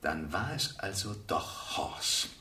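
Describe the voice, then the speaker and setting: An actor playing a cool, threatening, short-tempered villain in a radio crime drama. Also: male voice, low voice, lively intonation, takes it slowly, pushed and bright, echoey room, far from the mic, heavily acted. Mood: feigned innocence. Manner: swings from feigned innocence to menacing outbursts.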